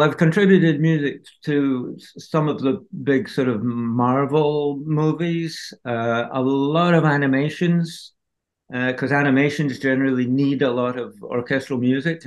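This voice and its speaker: An older man from Scotland, a film composer talking slowly and hesitantly on a video call from home. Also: medium pitch. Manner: slow, hesitant, many fillers. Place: home room.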